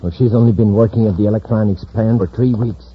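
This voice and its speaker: A man's voice: lowish voice, steady tone, fast talker, very noisy, lightly acted. A man playing a suspicious, bossy criminal ringleader with a curt manner in a 1950s radio serial. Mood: suspicious, impatient. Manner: curt, commanding, distrustful. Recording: tinny, narrow old radio recording.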